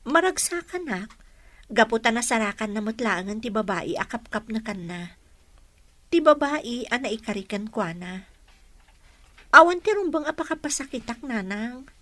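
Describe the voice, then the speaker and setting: A woman's voice: fairly high pitch, animated, normal speed, pauses often, pushed and bright, no echo. A woman playing a wronged but resilient young single mother in an Ilocano radio drama, sincere and emotional. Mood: sincere, hurt.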